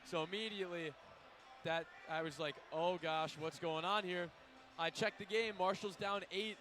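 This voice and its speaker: A young man cohosting a student radio basketball pregame courtside, emphatic and loud, running through stats. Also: high-pitched, steady tone, moderate pace. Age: young adult. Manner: emphatic, loud, stat-heavy. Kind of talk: live basketball pregame analysis. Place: courtside in a packed arena. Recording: faint arena noise behind.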